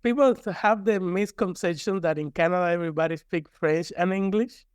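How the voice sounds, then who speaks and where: Higher-pitched man with a Cuban accent. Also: a little fast, clear, resonant tone, no room sound, studio quality. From Cuba. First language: Spanish. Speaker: guest, university professor. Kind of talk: conversational interview.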